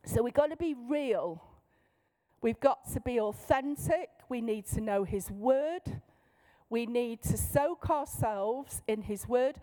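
Higher-voiced Mancunian woman teaching a workshop. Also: slow pace, warm tone, rough recording. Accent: Manchester. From Manchester, England. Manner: light-hearted, down-to-earth, checks in with listeners. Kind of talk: informal teaching talk to a group.

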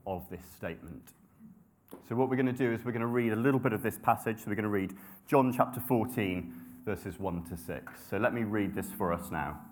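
A young adult man giving a sermon in a conversational, self-deprecating way, with frequent ums and restarts. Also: lowish voice. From grew up in Nepal and the UK. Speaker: preacher.

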